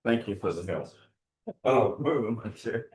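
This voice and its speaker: A man's voice: fairly low pitch, measured pace, darkish tone, roomy sound. A man, a county official chatting casually and easily before a meeting. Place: county courtroom.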